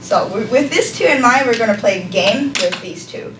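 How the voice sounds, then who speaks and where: A woman's voice: on the high side, animated, moderate pace. Young woman teaching in an echoey room. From Hong Kong. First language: Cantonese. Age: young adult. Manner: hesitant, lots of ums, light laughs. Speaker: volunteer language teacher. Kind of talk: live classroom lesson. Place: classroom. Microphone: far camera. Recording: echoey room from far off, noisy.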